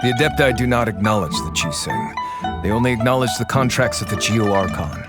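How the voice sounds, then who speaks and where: A man's voice: lowish voice, lively intonation, a little fast, close to an everyday voice. A man playing a shrewd, knowing agent. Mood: wary. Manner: conspiratorial, dry and knowing. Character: shrewd male agent of a foreign faction, wary. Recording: game audio with music behind.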